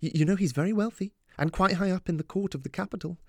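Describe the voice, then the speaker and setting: A man voicing an anxious, loving mother in a story, talking in a fretful, halting way. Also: mid-range voice, a little mellow, no echo, studio quality, lightly acted. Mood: tearful, relieved. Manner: fretful, halting, repeating words. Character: anxious, exasperated, loving mother of a teenage daughter. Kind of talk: audiobook character dialogue.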